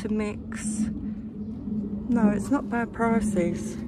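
A middle-aged British woman hosts a casual walking vlog, talking chattily to her viewers and companion. Her pitch is average for a woman, and there is clear outdoor noise behind her. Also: fairly even intonation, extremely slow. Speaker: vlog host. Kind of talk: casual walking-tour chat. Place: outdoors, walking along a marina promenade. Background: outdoor seafront ambience.

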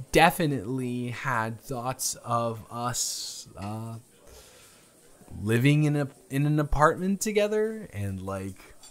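A man's voice: mid-range voice, fairly bright tone. A young man playing a shy, smitten date in an improvised romantic audio roleplay, hesitant and stammering, both teasing and earnest.

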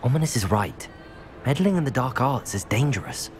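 Man playing a lively-toned student wizard. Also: medium pitch, normal speed, slight background noise, natural voice. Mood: concerned. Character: polite, cautious young student wizard hero. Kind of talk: video game dialogue acting. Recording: music under the voice.

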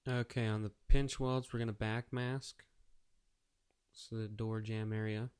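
A man's voice: fairly low pitch, little pitch movement, a little slow, darkish tone, clean tone. A man narrating a casual step-by-step how-to tutorial in a plain, practical, matter-of-fact way. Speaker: auto painter giving a how-to tutorial.

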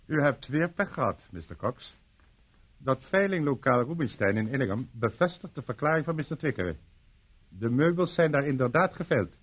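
An actor playing a dutiful, matter-of-fact police assistant in a radio play. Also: male voice, medium pitch, very animated, moderate pace, slightly rough. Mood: businesslike.

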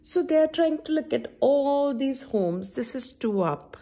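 A middle-aged woman hosts a children's story time with a very lively, swooping delivery. She takes her time, in a fairly high voice, and keeps turning to the young listeners with questions as she reads. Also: unhurried. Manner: expressive, questioning, addressing children directly. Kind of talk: read-aloud picture book storytime for young children. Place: indoor room at home by a window.

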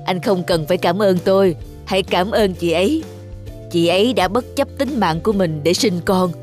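A woman's voice: lowish voice, moderate pace, a little mellow, natural voice. Woman voicing an earnest surgeon, music behind. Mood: earnest congratulation. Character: calm, earnest male surgeon. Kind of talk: dubbed cartoon melodrama.

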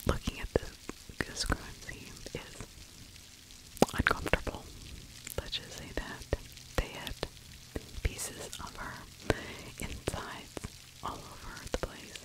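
A middle-aged woman speaks entirely in a breathy whisper, very close to the mic. She narrates in an unscripted true crime ASMR style, halting between short phrases. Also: poor audio. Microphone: large microphone with furry windscreen, very close.

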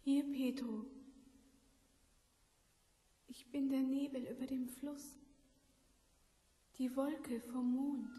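An actress in a German radio play voicing a dreamy, fearful woman in love, half-whispering and breathy. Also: high voice, fairly even intonation, takes it slowly, pauses often, mellow, no echo, exaggerated voice. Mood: eerie, soft.

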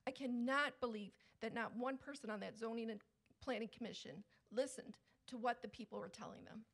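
A woman's voice: on the high side, fairly even intonation, fairly quick, a bit bright. A woman reading a prepared statement at a public meeting, speaking in an insistent, admonishing way. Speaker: resident speaking at public comment. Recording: public meeting room microphone.

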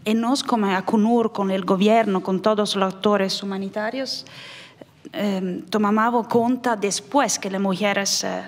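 A middle-aged woman with an Italian accent, speaking non-native Spanish as a humanitarian aid worker on a panel, halting and searching for words. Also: medium pitch, steady tone. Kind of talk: panel discussion. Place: conference hall, indoors. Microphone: desk microphone. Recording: reverberant conference hall.

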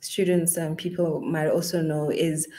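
Lowish-voiced South African woman, Zulu speaker. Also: flat delivery, a little slow, dark tone. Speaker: webinar panelist. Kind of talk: informal panel discussion. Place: indoor meeting room.

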